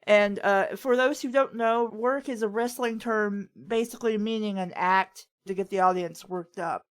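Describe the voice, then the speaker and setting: A woman's voice: mid-range voice, steady tone, normal speed. A woman hosting a casual chat, talking in a rambling, opinionated storytelling way. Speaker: host of a fan fiction reading show.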